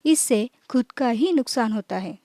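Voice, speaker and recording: A woman storyteller with a fairly high but mellow voice reads a scripted children's tale. She phrases it clearly and takes it very slowly, recorded close and dry. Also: lively intonation, dry recording.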